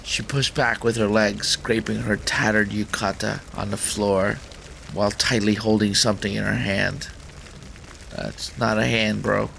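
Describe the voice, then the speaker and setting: A man's voice: on the low side, flat delivery, a little slow, gravelly, a bit bright. A man hosting a gaming channel, reading a visual novel aloud as dramatic narration, with faint noise in the recording.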